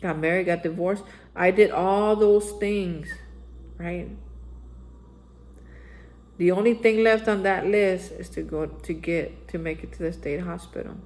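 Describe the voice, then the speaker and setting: A man's voice: high-pitched, fairly even intonation, a little slow, darkish tone. Earnest male vlogger with a plain speaking voice; exhorting, repetitive spiritual reflection. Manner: earnest, exhorting, repeats phrases and says "man". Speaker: recovery vlogger. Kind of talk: daily spiritual reflection monologue. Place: Austin, Texas area.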